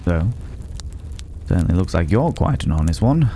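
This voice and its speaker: A man voicing a mysterious, cultured recluse in a fantasy ASMR roleplay, speaking in a measured, philosophical way with breathy, whispered edges. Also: deep voice. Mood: probing, disdainful. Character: mysterious, cultured, solitary male stranger living among dragon's hoard. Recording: clear steady background noise.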